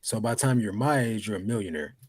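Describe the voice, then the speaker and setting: A man in his forties co-hosting a casual podcast, relaxed and joking in a laid-back drawl. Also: lowish voice, fast talker, husky, dry recording, clean studio sound. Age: 40s. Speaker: podcast co-host. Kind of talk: casual chat.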